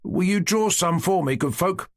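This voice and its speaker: A man reading aloud voices a young male servant who talks gently but boasts about his master. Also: high-pitched, lively intonation, moderate pace, voice pushed up. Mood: eager. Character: young servant man, cheerful and self-important.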